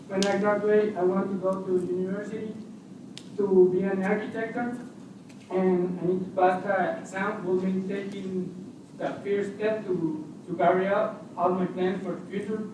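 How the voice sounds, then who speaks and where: A nineteen-year-old man from Honduras, a student making an earnest public comment in slightly halting English. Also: high voice, little pitch movement, a little mellow. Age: young adult. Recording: echoey hall, far from mic, noise.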